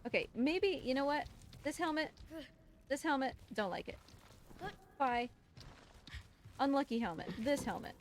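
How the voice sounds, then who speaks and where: Higher-voiced woman streaming over noisy game sound. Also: animated, unhurried, pauses often. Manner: talks to the game creature in playful, exasperated scolding. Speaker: speedrunner streaming live for a charity marathon. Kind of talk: casual live gameplay commentary. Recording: clear background game noise and ambience.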